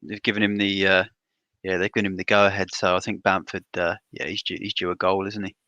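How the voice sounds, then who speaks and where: A man with a low but bright, forward voice makes short, laid-back remarks on a panel. The sound is dry, with no room around it. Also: level tone, fast talker, no echo, muffled recording. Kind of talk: casual panel chat.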